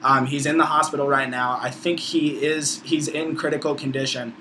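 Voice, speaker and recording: Bright-voiced young American man vlogging, noisy. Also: medium pitch, steady tone, moderate pace. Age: around 20. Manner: slangy, profane, informal. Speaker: video blogger, college student. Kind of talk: casual opinionated vlog monologue.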